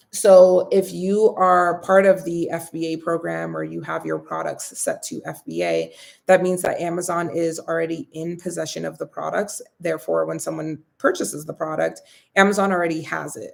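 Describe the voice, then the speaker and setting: A young woman hosting a casual live Q&A, chatting in a friendly way as she reads viewer comments aloud and answers them, her voice getting a bit raspy from long talking. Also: sits low. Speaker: host answering viewer questions.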